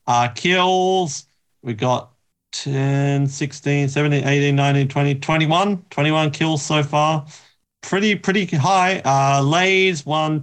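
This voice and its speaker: Higher-pitched man hosting a casual podcast. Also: a bit bright. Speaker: podcast host. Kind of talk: casual chat about books.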